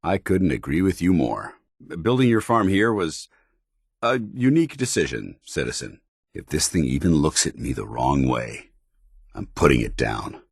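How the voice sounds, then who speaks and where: An American actor playing a stern, principled military paladin in a video game, with clipped, formal, authoritative diction. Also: male voice, extremely low pitch, very animated, moderate pace, gravelly, breathy edge, dry recording, clean studio sound, heavily acted. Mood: calm, curious. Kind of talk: video game companion dialogue.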